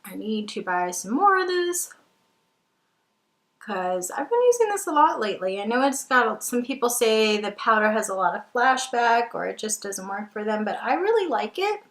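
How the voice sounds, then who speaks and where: Young woman in her twenties, fairly high voice, sniffly from a cold, chatty makeup review in an echoey room. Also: sing-song, moderate pace, fairly bright tone. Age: 20s. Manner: chatty, enthusiastic, repeats herself with ums. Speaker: beauty vlogger. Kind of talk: casual makeup review chat.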